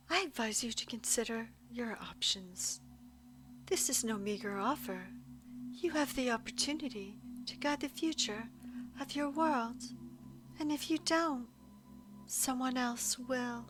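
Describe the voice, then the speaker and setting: Alien insect hive queen, played by a woman: highish female voice, slow, formal, coolly menacing, music behind, audio roleplay. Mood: regal, persuasive. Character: imperious, calm alien insect hive queen speaking telepathically. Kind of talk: scripted audio roleplay monologue addressed to the listener.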